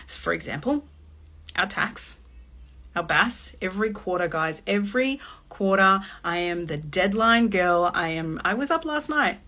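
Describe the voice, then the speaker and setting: A woman in her late thirties hosting a chatty, expressive motivational live-stream talk. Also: mid-range voice, unhurried. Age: 30s. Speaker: host.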